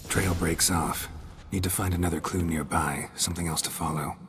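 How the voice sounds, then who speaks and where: An actor playing a gruff, wry monster hunter in a video game, with terse, deadpan, muttered observations. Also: male voice, deep voice, moderate pace, background noise. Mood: focused, muttering.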